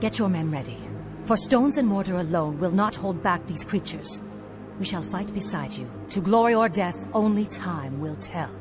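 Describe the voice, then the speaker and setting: An actress playing a proud, solemn elf war leader in a fantasy video game, speaking in a grave, formal manner. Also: female voice, fairly low pitch, lively intonation, normal speed, lightly acted. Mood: grave resolve. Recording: music clearly behind the voice. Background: game music.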